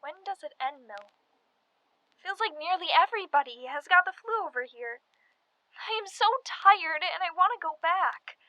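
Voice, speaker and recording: A woman's voice: very high voice, animated, moderate pace, dry recording, lo-fi sound. A young woman playing a chatty but weary and frightened college student from 1918 in a radio play. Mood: weary, pleading. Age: young adult. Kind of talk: dramatized letter monologue, period radio play.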